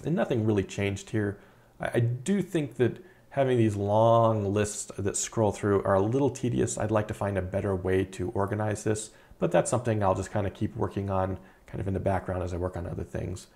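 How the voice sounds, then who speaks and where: Relaxed chatty man, lowish voice. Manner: relaxed, chatty, frequent uh fillers and repeated words. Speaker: indie game developer. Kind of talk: casual video devlog narration.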